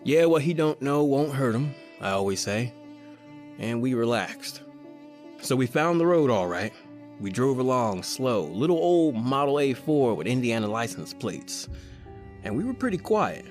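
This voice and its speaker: A man's voice: mid-range voice, sing-song, a little slow, a little mellow, soft background music. A man with an American accent playing a cocky, slangy small-time crook in an old-time radio horror drama. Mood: uneasy, reminiscing. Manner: slangy, colloquial, conversational. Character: cocky wisecracking small-time male crook telling his own eerie tale. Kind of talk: old-time radio horror acting, first-person narration and dialogue.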